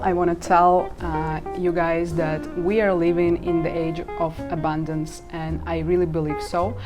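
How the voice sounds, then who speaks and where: Young woman from Ukraine, native Russian speaker, low voice, earnest candid vlog talk, guitar music behind. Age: 20s. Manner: earnest, candid, laughs at herself. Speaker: vlogger. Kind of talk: casual talk to camera.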